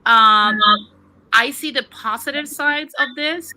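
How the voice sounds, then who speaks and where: A woman joins a streamed call as a guest and shares her views. Her voice is very bright and a little high, and she often restarts a point while she works it out. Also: takes it slowly, poor audio. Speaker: guest on a livestream. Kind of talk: casual opinion discussion.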